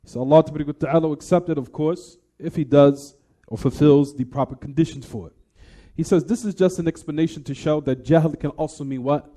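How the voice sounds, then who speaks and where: A man's voice: on the high side, normal speed, mellow. A man giving a religious lecture, explaining a text in a didactic way and posing rhetorical questions to his listeners.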